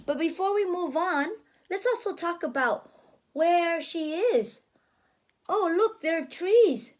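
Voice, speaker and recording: High voiced lady teacher doing a kids read-aloud, slow n super expressive, lots of gasps and questions. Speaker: teacher reading a picture book aloud to a child. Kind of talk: animated children's read-aloud with explanations.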